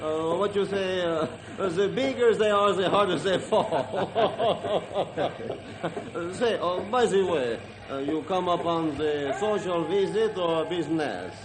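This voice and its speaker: An actor playing a big, hearty French Canadian lumber camp foreman who speaks halting broken English in an old-time radio drama. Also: high-pitched, poor audio. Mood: genial, curious. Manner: halting broken English, refers to himself by name.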